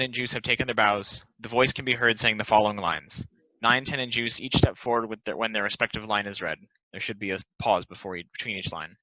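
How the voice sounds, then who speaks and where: A man's voice: medium pitch, pushed and bright, dry recording, lo-fi sound. A man reading stage directions as scripted narration for a play's table read.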